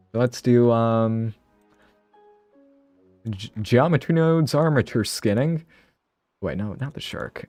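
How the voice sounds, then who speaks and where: A man's voice: mid-range voice, a little mellow, no room sound. A man casually thinking aloud on a live stream, rambling and interrupting himself, a bit sniffly from a cold.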